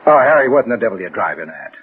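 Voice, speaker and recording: A breezy, skeptical male theater boss, talks in a husky, colloquial, dismissive way. The scene is 1960s radio drama with a muffled, narrow sound. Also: on the low side, very animated, very fast, soft background music, exaggerated voice. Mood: offhand, patient. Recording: muffled old radio recording.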